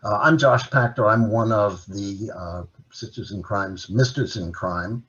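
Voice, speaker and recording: A man's voice: fairly low pitch, unhurried, a bit bright, dull audio. A man, a native Dutch speaker, presenting his work as an author and translator in a casual talk, listing items with many filler uhs. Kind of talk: casual presentation at an online library event.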